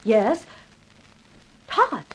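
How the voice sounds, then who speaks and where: Brief: poised society lady, slow, polite delivery with lively intonation, vintage radio thriller. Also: on the high side, a little hiss or hum, exaggerated voice, rough recording. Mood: surprised, poised. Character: poised, surprised lady employer and model. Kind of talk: 1950s radio drama acting.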